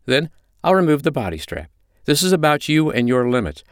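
A man's voice: fairly high pitch, very animated, brisk, clean tone, no room sound, clean studio sound, pitched above the performer's own voice. A man voicing a firm, commanding but reassuring mistress in an audiobook story. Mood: calm, reassuring. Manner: firm, instructing, soothing. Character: commanding, reassuring adult woman, a dominatrix mistress.

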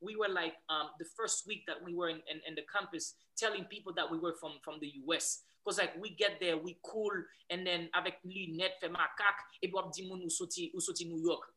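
A young Haitian man with a Haitian accent, a guest telling his story in a casual interview, animated and full of restarts. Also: high-pitched, a little fast, few pauses, low-quality recording. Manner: animated storyteller, many restarts, growing louder as he goes. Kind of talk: casual interview, switching between English, Creole and French.

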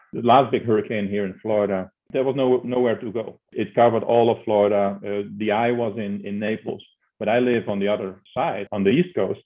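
A middle-aged man from the Netherlands with a Dutch accent, explaining conversationally as an interview guest and repeating words as he builds his points. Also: on the low side. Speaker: interview guest, energy consulting executive. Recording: narrow tinny phone-like line.

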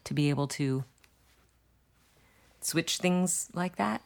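A woman's voice: sits low, slow pace, vocal fry. A woman hosting a casual conversation. She asks her guests questions and often murmurs agreement while they speak. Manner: warm listener, frequent affirming murmurs.

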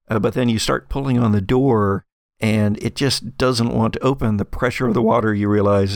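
A man working as game master, narrating a tabletop story in a halting, conversational, improvised way. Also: on the low side, no room sound, studio quality.

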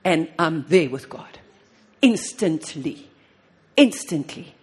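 A woman preaching a sermon on prayer, fervent and full of repeated praise, swinging between soft and loud. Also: sits low, animated, takes it slowly, slightly rough.

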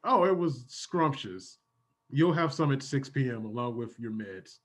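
A man acts out an asylum orderly, friendly on the surface and quietly sinister. He speaks deadpan at a middling pitch in improvised comedy. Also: a little slow, husky. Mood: pleasantly menacing. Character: affable but sinister asylum orderly, calmly dull.

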